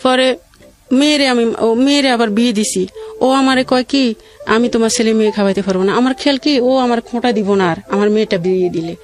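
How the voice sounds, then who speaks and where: A middle-aged woman with a colloquial regional Bangladeshi accent tells her own life story in a halting, rambling, plaintive way. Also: fairly high pitch. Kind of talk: emotional personal storytelling.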